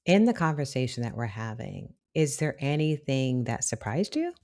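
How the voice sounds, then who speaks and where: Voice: mid-range voice, big pitch swings, normal speed, a little mellow. An interviewer asking short, open questions.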